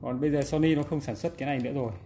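Male repair presenter, casual and demonstrative, medium pitch, slightly noisy indoor recording. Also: fairly even intonation, racing. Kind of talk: casual hands-on repair explanation. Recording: background noise, room ambience.

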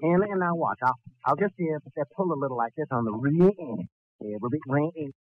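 A man's voice: a little high, moderate pace, a little hiss or hum, natural voice. A man voicing a bumbling, boastful young cousin with a cartoonish sputtering stutter in a 1940s radio comedy. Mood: flustered, eager. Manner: boastful, word-substituting bumbler. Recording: dull lo-fi 1940s radio recording.

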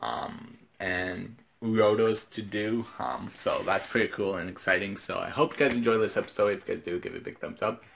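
Bright-voiced young man, rambling casual vlogger. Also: lowish voice, vocal fry. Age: 20s. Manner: halting, repetitive, trailing off into vague asides. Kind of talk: casual rambling vlog talk. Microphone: phone at arm's length. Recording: faintly noisy handheld phone recording.

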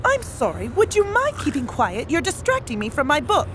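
A woman voicing a stern mother passenger in an animated series, prim and scolding. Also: sits high, normal speed.

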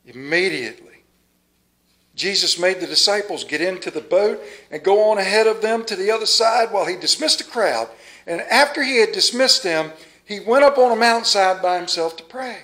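A middle-aged man, a pastor, preaching a lively sermon in a small church, reading scripture and moving around the pulpit with dramatic pauses. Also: sits high. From United States. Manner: animated, moves about the pulpit, dramatic pauses. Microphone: stand mic at pulpit, far camera.

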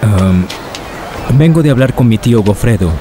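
Actor as a persuasive young seminarian, lowish voice, street noise around. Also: male voice, expressive, normal speed, close to an everyday voice. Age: young adult. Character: ambitious young seminarian, well-connected and eager. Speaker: seminarian friend.

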